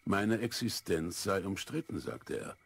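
Wise old philosopher, audio drama. Low, gravelly, measured, self-assured, drily amused. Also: male voice, lively intonation, slight background noise, full character voice. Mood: reminiscing, amused. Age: older. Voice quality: husky, creaky. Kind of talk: children's audio drama acting.

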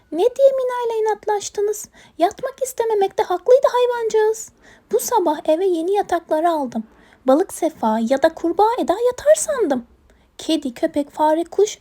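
A woman's voice: very high-pitched, very animated, normal speed, mellow, pitched above the performer's own voice. A woman voicing a fussy elderly woman in her eighties in a children's storybook, exclaiming and reproachful as she scolds her pets. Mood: scolding, dismayed. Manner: exclaiming, reproachful, sympathetic to the hedgehog. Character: fussy, scolding 86-year-old woman owner of many pets.

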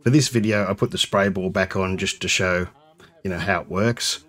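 A man narrates a voice-over in a low, steady voice. He talks plainly and conversationally, without fuss. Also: moderate pace. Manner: plain, matter-of-fact, conversational. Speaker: home brewer.

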